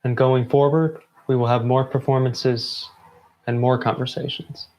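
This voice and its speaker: Slow, deliberate man giving closing remarks. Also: medium pitch, flat delivery, darkish tone, poor audio. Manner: deliberate, halting phrases with pauses. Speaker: member of a student theatre group giving closing remarks. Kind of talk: prepared closing address.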